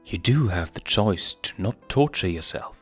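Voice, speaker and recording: A man voicing a wise elderly lioness who speaks softly and consolingly, in a storybook reading. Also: on the low side, expressive, unhurried, husky, faint music behind, full character voice. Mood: gentle, consoling. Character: gentle old lioness, wise consoling grandmother.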